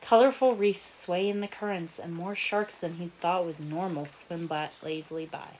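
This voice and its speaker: A woman narrating a story from a script in an expressive storytelling style. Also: fairly low pitch, moderate pace, slight background noise, low-quality recording. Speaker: fan fiction narrator.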